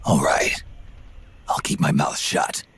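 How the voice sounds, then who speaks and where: An actor playing a gruff, weary veteran soldier with a gravelly growl, terse and questioning, in a video game cutscene. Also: male voice, takes it slowly, in a whisper, natural voice. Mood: guarded, subdued.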